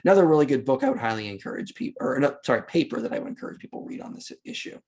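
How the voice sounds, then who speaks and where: A man's voice: medium pitch, big pitch swings, rapid-fire, darkish tone. A man speaking as an interview guest, explaining history in unscripted podcast talk with an emphatic, argumentative manner.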